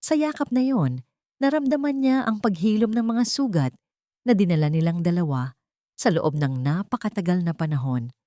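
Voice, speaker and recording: A woman's voice: lowish voice, animated, normal speed, dark tone, no echo, clean studio sound. A woman reading a dramatic scripted story as a storyteller.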